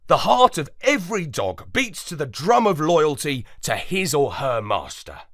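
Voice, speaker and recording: Guy being an earnest space dog telling kids a story, slow n animated, close dry sound. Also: a little high, no echo, exaggerated voice. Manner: expressive, earnest. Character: earnest, loyal male space dog telling his adventures. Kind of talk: scripted children's story narration.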